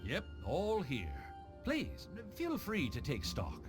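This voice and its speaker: A man's voice: a little high, huge pitch swings, slow pace, over music, heavily acted. A man voicing a polite, knowing and shady business contact in a video game. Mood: courteous, curious. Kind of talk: scripted game dialogue.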